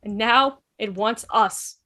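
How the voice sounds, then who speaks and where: A woman's voice: fairly high pitch, takes it slowly, bright, forceful tone. Entranced, helpless traveller echoing short phrases, played by a woman. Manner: echoes short phrases mechanically. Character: helpless man trapped repeating another's words. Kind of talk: amateur table-read drama.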